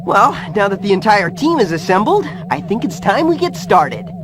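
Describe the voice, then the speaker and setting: A level-headed teenage hero from a cartoon game. He sounds casual, with a lively, moving pitch, against a loud crowd-like background. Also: normal speed. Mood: ready. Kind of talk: cartoon video game voice acting.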